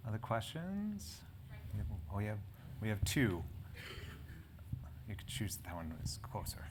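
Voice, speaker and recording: Low-voiced man moderating a live panel. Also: wildly swooping pitch, unhurried, noisy background. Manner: rambling, self-correcting, frequent fillers. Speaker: panel moderator and editor of a health publication. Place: conference event in front of an audience.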